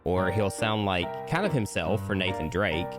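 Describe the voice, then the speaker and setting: A man's voice: low-pitched, animated, moderate pace. A man co-hosting a gaming podcast, explaining things in a laid-back, conversational way. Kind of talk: casual chat during a game let's play. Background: music playing behind the voice.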